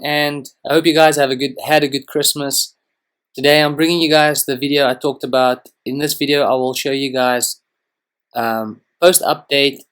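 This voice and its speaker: Afrikaans-speaking man chatting over gameplay. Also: mid-range voice. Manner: relaxed, rambling, frequent ums and restarts. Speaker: gaming channel host. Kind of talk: casual gameplay commentary.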